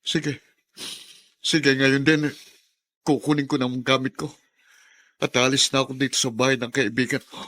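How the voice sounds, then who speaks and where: A man's voice: mid-range voice, flat delivery, moderate pace, long pauses, sharp tone. An actor playing a hurt, self-sacrificing father in a Filipino radio drama, pleading and resigned.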